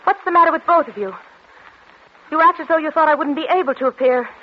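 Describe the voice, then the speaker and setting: An actress playing a fragile, desperate concert pianist in a breathless, insistent 1940s radio style. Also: female voice, high voice, fast, close to an everyday voice. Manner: breathless, insistent, repeating herself. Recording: muffled, noisy 1940s radio recording.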